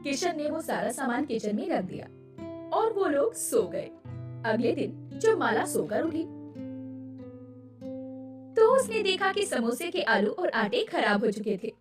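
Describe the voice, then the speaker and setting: A woman reads a scripted moral story as narrator. Her voice is high and highly animated. It sounds echoey and a little away from the mic. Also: a little slow, long pauses. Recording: echoey, distant, music behind.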